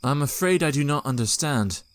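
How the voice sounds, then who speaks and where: An actor playing a polite, proper young Victorian gentleman who speaks in a formal, courteous way. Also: mid-range voice, lively intonation, moderate pace, no room sound, lightly acted. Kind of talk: audio drama acting and journal narration.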